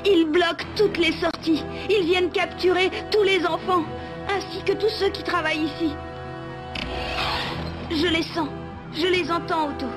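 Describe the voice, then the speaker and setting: Very high-voiced, anxious young child. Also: female voice, steady tone, a little slow, long pauses. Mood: urgent, pleading. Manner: urgent, pleading. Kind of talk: French animated series dubbing. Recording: loud music under the voice.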